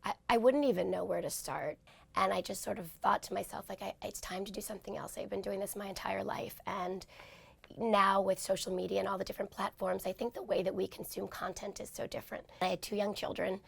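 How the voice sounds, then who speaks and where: A woman in her forties from New York, hoarse from talking too much, chatting in an interview with quick self-deprecating asides. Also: mid-range voice, fairly even intonation, dry recording. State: hoarse, lost voice. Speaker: interview guest. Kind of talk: casual interview talk.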